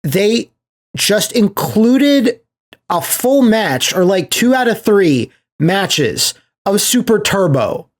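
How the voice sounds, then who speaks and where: A man's voice: high-pitched, slow. A man co-hosting a podcast, chatting casually and telling stories with enthusiasm, quoting reactions and swearing freely. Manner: animated storyteller, acts out quotes, swears casually. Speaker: podcast host.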